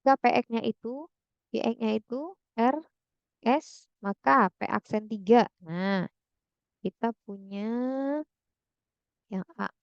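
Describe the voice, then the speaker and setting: A woman talks slowly through a math problem, thinking aloud and checking in with her listeners. Her voice is heavily gravelly with vocal fry. The recording is dull and lo-fi, close and dry. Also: a little high, no room sound. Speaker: math tutor. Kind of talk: slow worked-example tutoring.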